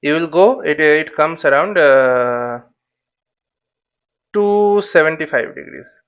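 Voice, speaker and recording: High-pitched man explaining haltingly, dull audio. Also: fairly bright tone. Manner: halting, repetitive, checks with "okay" and "right". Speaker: flight simulation instructor. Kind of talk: explanatory tutorial, thinking aloud.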